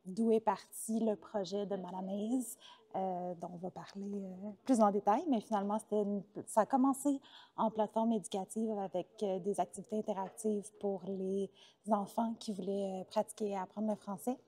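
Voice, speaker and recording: A woman's voice: medium pitch, steady tone, normal speed, mellow. A woman in her thirties with a Québécois accent, chatting as an interview guest, talkative with many filler sounds. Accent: Québécois French. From Quebec, Canada. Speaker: interview guest, former teacher turned entrepreneur. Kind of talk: casual interview conversation.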